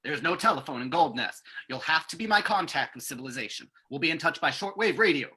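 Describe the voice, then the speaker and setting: An actor playing an earnest male security agent in an old-time radio drama, with a husky voice. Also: mid-range voice, lively intonation, fast talker, pushed and bright, full character voice.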